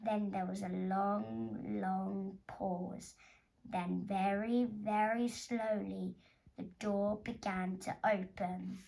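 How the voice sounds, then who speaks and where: A woman's voice: medium pitch, steady tone, takes it slowly, vocal fry, warm tone, poor audio. A woman narrating a children's story expressively, as an author reading her own book.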